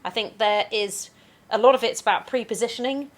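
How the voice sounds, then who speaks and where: A woman's voice: on the high side, brisk. A woman panelist speaking in a practical, matter-of-fact way in a panel discussion.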